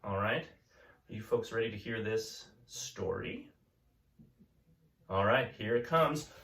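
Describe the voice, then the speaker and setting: A man teaching a class, chatting gently and reading a picture book aloud to children. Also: fairly low pitch, expressive, takes it slowly, gravelly. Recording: echoey room, mic at a distance.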